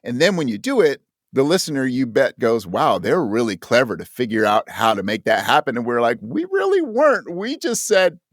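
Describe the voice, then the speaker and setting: A man with a medium-pitched voice talks as a guest in an interview. He thinks aloud in a halting, conversational way, quoting his own thoughts as he goes. The sound is dry, with little room on it. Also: very animated, dry recording. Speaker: interview guest, audio drama writer. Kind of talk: conversational interview about writing.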